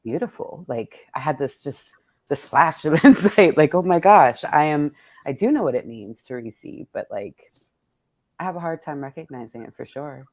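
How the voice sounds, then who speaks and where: Very low-voiced woman, casual and thoughtful. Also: animated, normal speed, darkish tone. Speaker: poet hosting an event with her readers. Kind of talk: casual reflective conversation.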